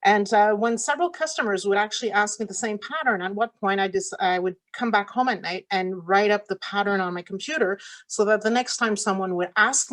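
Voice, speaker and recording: A middle-aged woman whose first language is French, a quilt shop owner giving a casual talk over slides on a video call, with many fillers and restarts. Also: mid-range voice. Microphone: computer mic on a video call.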